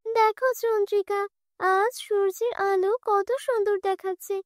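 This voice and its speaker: A woman's voice: very high voice, level tone, normal speed, no room sound, studio quality. A woman voicing a graceful fairy in a children's cartoon, questioning with kindly authority. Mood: serene. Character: graceful female fairy, commanding yet kindly.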